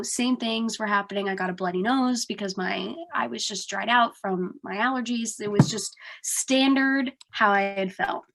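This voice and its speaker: A woman's voice: mid-range voice, vocal fry, rough recording. A young woman from New Jersey, sounding congested, telling a story in a casual podcast chat with lots of "like". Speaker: podcast co-host.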